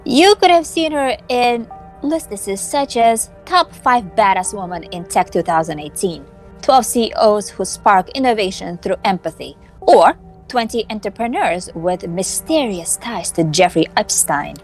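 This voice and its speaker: Woman voicing female hacker, sardonic, nervy, brisk, mid-pitched, visual novel character, soft music behind. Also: expressive. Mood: intense, sardonic. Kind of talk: amateur visual novel dub.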